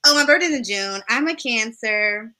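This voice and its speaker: A woman's voice: on the high side, lively intonation, moderate pace, very noisy. A woman in her late twenties, a guest on a casual live-stream podcast over a video call, playful and joking. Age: 20s.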